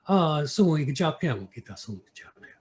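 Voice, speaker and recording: A man from New York with an American accent, an interview guest telling stories casually and haltingly, sometimes switching between English and Mandarin. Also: a little high, big pitch swings, a little mellow. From New York, United States. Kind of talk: casual conversational storytelling. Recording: clear background noise.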